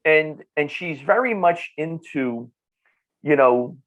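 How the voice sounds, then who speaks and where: A middle-aged man with a New Jersey accent talks in a conversational, explanatory way. His voice sits a little high, and he drives points home with repeats and rhetorical questions. The recording is somewhat dull and lo-fi. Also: expressive, takes it slowly, a bit bright. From northern New Jersey, United States. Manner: emphatic, repeats phrases and asks rhetorical questions. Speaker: nutrition clinician, podcast guest.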